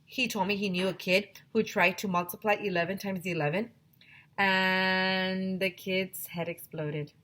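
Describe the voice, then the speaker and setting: A woman teacher reading a children's chapter book aloud in an expressive storytelling style. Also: mid-range voice, a little slow.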